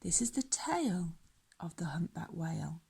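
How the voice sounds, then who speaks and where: A woman reading a children's story aloud in a dramatic, measured way, lingering on the rhymes. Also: on the low side, sing-song, warm tone, breathy edge.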